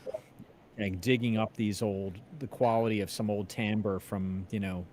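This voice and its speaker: A middle-aged or older man chatting casually, self-deprecating and halting as he talks. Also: lowish voice, fairly even intonation, darkish tone, a little hiss or hum. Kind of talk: casual chat.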